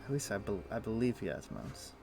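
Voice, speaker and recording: A man streaming a video game, explaining things in a relaxed, meandering way with frequent pauses. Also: on the low side, gravelly, over music. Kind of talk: casual gameplay chat.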